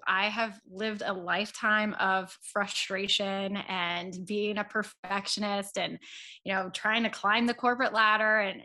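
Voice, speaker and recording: A woman's voice: mid-range voice, bright, forceful tone. A woman in her thirties, a guest on an interview show, talking in a chatty, self-deprecating way and often laughing at herself. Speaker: podcast guest. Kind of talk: casual conversational interview.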